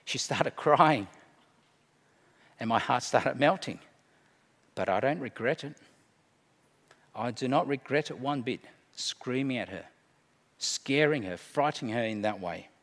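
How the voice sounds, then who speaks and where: A middle-aged man preaching a sermon, emphatic, swinging between hushed and loud delivery. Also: mid-range voice, lively intonation, a little slow, long pauses. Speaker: pastor. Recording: long hall reverb.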